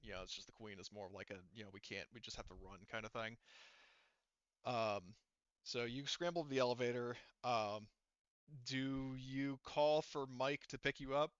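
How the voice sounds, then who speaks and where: A man running a tabletop roleplaying game as game master, improvising narration in an informal, halting way full of fillers. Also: medium pitch, steady tone, clear, resonant tone, no echo, highs cut off.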